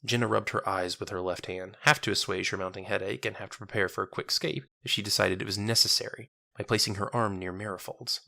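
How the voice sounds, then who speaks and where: A man's voice: lowish voice, steady tone, fast, vocal fry, few pauses, sharp tone, no room sound. A man narrating a scripted dramatic audio story.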